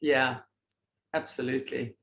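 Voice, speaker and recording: A woman with a deep voice hosting a casual, heartfelt chat, gushing and appreciative in her words. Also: steady tone, a little slow, echoey room. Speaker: host.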